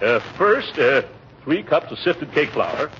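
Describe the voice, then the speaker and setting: An actor playing a pompous, blustering middle-aged American uncle who hems and haws, in 1940s radio comedy style. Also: male voice, fairly high pitch, very animated, unhurried, fairly bright tone. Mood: busy, confident. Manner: blustery, hemming and hawing. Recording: muffled, noisy old radio recording.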